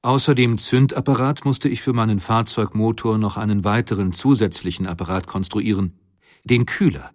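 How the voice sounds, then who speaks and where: A man playing a methodical inventor who recounts his technical work in a measured, matter-of-fact way, as in a scripted memoir. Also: on the low side, steady tone, a little mellow, no echo, clean studio sound, close to an everyday voice. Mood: sober, explanatory. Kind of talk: scripted first-person memoir reading.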